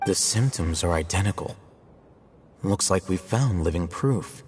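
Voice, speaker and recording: An actor playing a composed, analytical male scholar and scribe in a game, explaining his deductions in a calm, measured, lecturing way. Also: low-pitched, noisy background. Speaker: academy scribe. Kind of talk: game cutscene voice acting. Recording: reverberant with game music.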